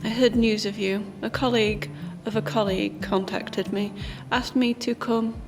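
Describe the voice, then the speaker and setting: A woman playing an enigmatic, protective time-traveller in an audio drama, measured, formal and wry. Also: mid-range voice, fairly even intonation, music in the background, lightly acted. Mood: composed.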